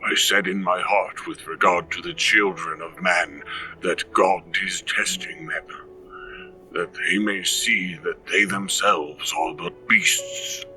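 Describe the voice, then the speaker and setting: Slow, low-voiced solemn reverend, acted by a man. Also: steady tone, pushed and bright, distant mic, faint music behind, full character voice, poor audio. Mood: solemn, prayerful. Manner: solemn, preachy, measured delivery. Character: solemn village reverend leading a burial. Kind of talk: scripted audio drama, scripture recitation.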